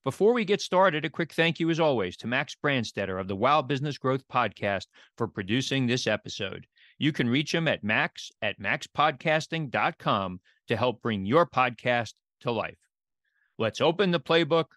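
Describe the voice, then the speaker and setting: A man hosting an interview podcast, presenting in a deliberate, announcer-like way. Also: medium pitch, lively intonation, a bit bright, no echo, clean studio sound. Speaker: podcast host. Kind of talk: scripted podcast intro and interview.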